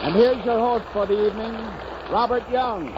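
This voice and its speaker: A man's voice: sits high, normal speed, heavy background noise. An American man announcing a variety program in 1930s radio style. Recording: muffled 1930s radio recording. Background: loud music.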